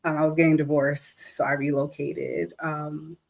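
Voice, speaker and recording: Soft-spoken, low-voiced woman from New York. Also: level tone, normal speed. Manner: soft-spoken, laughs often, modest. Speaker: guest artist. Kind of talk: casual interview.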